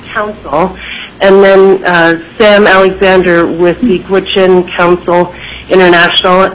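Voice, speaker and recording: A woman's voice: fairly low pitch, rough recording. Stuffy-nosed woman giving formal testimony. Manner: measured, frequent "uh" pauses while listing names. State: stuffy nose from a sinus infection. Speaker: corporate executive testifying to a legislature.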